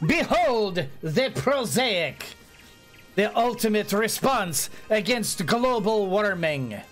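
A boastful inventor played by a man with an outrageous French accent, announcing everything grandly. The voice sits high and swoops with a lot of lift. He takes his time over each word. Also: big pitch swings, slow pace, fairly bright tone, faint music behind, heavily acted. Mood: grandiose. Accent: put-on French.

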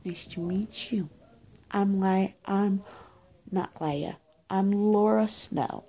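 A young woman plays a friendly teenage good witch in a homemade roleplay. Her voice is husky and quite creaky, with a mellow, muted tone. She talks casually and haltingly, straight to the listener, often sinking to a near-whisper. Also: medium pitch. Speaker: roleplay video creator. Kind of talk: soft-spoken improvised roleplay, addressing the viewer. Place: small indoor room, plain walls. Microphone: phone or webcam at arm's length.

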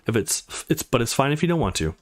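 A man's voice: medium pitch, big pitch swings, brisk, close to an everyday voice. A man voicing a worried, caring, motherly woman in a visual novel, speaking earnestly and affectionately. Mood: tender, concerned.

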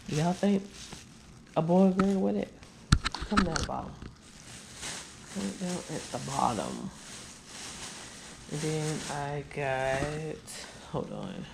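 A woman's voice: low-pitched, creaky, dark tone, slight background noise. A woman, chatting tiredly to her viewers from a living room couch into a handheld camera. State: weary. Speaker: vlogger. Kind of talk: casual chat to viewers. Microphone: handheld camera at close range.

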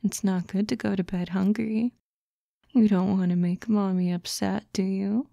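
A woman voicing a doting, teasing fox-girl girlfriend in a soft, breathy, coaxing ASMR roleplay, close to the microphone. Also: mid-range voice, steady tone, no room sound, close to an everyday voice. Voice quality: soft, breathy, often whispering. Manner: slow, coaxing, cooing. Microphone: close, dry microphone.

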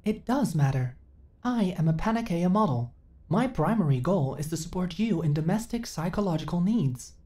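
Woman as a low, mellow, formal female android. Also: moderate pace, close to an everyday voice. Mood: flat, hesitant. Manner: stilted, formal, literal, emotionally flat delivery. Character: female companion android, earnest, uncertain, eager to serve. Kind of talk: ASMR roleplay audio drama.

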